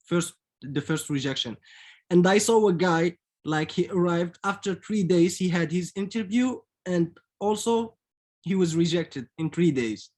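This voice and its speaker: A man's voice: sits high, lively intonation, measured pace, darkish tone. A man on a panel telling his own story plainly and directly, repeating words as he goes. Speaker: panel speaker. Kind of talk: personal account.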